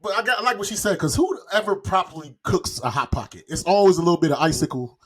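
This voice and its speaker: Casting: male comedy panelist, fairly high voice, loose street-slang riffs with repeated punchy phrases. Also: lively intonation, fast talker, slightly rough. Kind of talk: casual comedic podcast banter.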